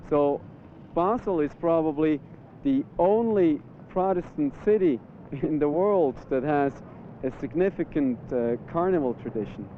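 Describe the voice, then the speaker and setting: Man explaining, tinny old audio. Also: fairly high pitch. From Switzerland. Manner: explanatory, measured. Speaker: carnival organizer. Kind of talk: explaining to camera. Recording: tinny old film audio with street noise.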